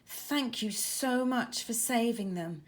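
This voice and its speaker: A woman's voice: a little high, takes it slowly. A woman voicing a kindly, anxious lighthouse keeper man with a shaky manner in a children's storybook. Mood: relieved.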